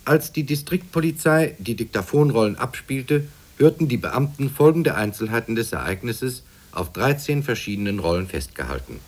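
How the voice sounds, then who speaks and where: A grave newsreader played by a man delivers disaster reports in a fifties radio drama. His husky voice sits mid-range and swings dramatically in pitch, formal throughout. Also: lively intonation, moderate pace, hardly any pauses, close to an everyday voice. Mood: grave, dramatic. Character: urgent newspaper and radio news reporter. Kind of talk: dramatised 1950s news report.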